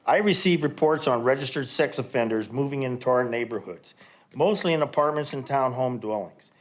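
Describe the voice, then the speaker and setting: A man speaking loudly and indignantly during public comment at a council meeting. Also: mid-range voice, fairly quick. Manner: loud, indignant.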